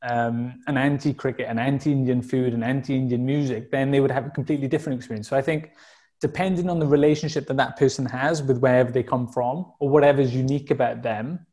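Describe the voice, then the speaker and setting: Mellow-voiced Welsh man. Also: medium pitch, steady tone, quick pace. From South Wales. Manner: open and reflective, frequent fillers and restarts. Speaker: podcast guest. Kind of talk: casual conversational interview.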